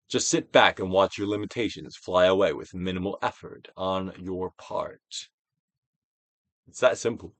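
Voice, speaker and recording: A man's voice: medium pitch, no echo. Male narrator for self-help audiobook: trained stage actor, dramatic and emphatic, wide-ranging intonation, big dynamic contrasts, very dry close sound. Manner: emphatic, drawn-out phrases with big dynamic swells and hushed drops. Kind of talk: theatrical dramatic audiobook reading.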